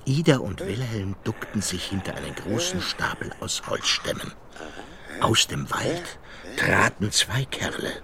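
An actor playing a storyteller, narrating a children's radio play in an expressive, measured way. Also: male voice, lowish voice, vocal fry, a loud crowd, heavily acted. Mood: storytelling. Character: male storyteller telling of his scholar brother.

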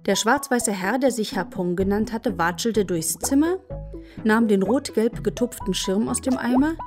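A woman's voice: medium pitch, lively intonation, moderate pace, over music. A woman narrating a children's radio play in a scripted storybook style.

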